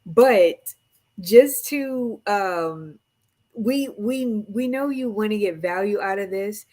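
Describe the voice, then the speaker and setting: Slow-paced woman pitching a class casually. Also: a little mellow. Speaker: mastermind co-host and nonprofit grant coach. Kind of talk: casual promotional talk.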